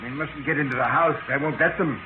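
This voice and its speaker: Man playing excitable high-pitched professor, vintage radio. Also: fast, lightly acted. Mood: alarmed, agitated. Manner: fervent, theatrical, fussy. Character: excitable, obsessive professor waging war on insects. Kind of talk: 1940s radio drama acting. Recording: noisy, narrow-band vintage radio recording.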